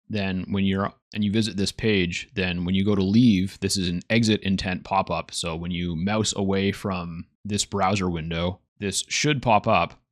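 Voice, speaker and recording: A man hosting a software review, talking through it in a casual, matter-of-fact explanatory way. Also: low-pitched, dry recording, clean studio sound. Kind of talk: casual tutorial narration.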